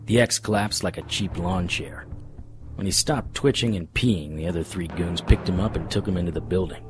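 An actor playing a wry, deadpan, hardboiled supernatural private investigator narrating a noir radio drama in a husky voice. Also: male voice, low-pitched, normal speed, over music, lightly acted. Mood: wry, watchful. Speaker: narrator and lead.

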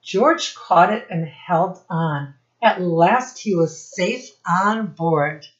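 A woman's voice: lowish voice, expressive, muffled recording. A middle-aged woman reading a picture book aloud to young children like a teacher, stopping to point things out and ask questions. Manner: instructive, addresses children directly, pauses to point things out. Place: small room at home, indoors.

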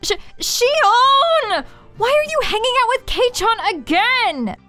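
A woman's voice: very high voice, animated, slow, pushed and bright, no echo, soft background music, pitched above the performer's own voice, exaggerated voice. A woman voicing a flustered, tomboyish teenage anime girl who stammers and exclaims, easily outraged.

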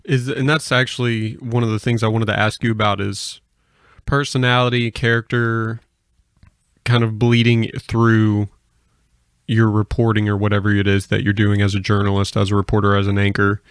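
Low-voiced male podcast interviewer, dry sound. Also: little pitch movement, moderate pace, dry recording. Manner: searching, rephrases his questions. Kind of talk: casual interview questioning.

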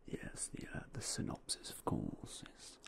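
A young man whispering in a polite, unhurried way as a game store clerk in an ASMR roleplay, close to a binaural mic. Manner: soft, polite, unhurried shopkeeper talk with fillers. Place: indoors in front of a game store backdrop. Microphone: binaural mic close to the face.

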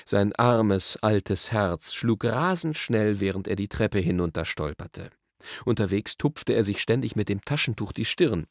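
A man reading a children's story as an expressive, scripted audiobook narration. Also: lowish voice, measured pace, no room sound, studio quality.

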